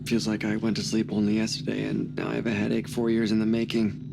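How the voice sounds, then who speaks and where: An actor playing a wry, joking younger astronaut in a video game, talking in a casual, teasing way. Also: male voice, lowish voice, monotone, fast, creaky, noisy background. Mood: groggy, wry. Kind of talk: cinematic video game acting.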